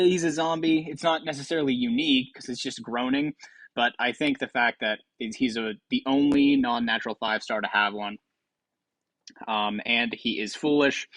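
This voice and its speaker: A man's voice: mid-range voice, a bit bright, no room sound. Mock-grandiose man hosting, dry close sound. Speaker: gaming video host. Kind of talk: casual gaming commentary.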